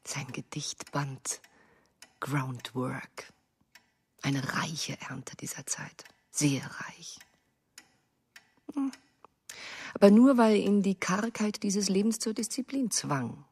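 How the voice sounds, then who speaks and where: Genteel, domineering wife for radio drama: low-key gravel, husky, effusive and affected. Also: female voice, expressive, slow pace, pauses often, a little mellow, full character voice. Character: genteel, effusive American wife reminiscing, dominating her husband. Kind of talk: German radio drama acting.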